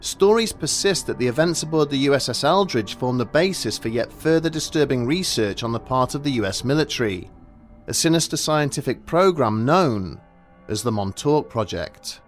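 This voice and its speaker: A man narrating a scripted documentary story in a measured, grave and formal manner. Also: mid-range voice, animated, soft background music.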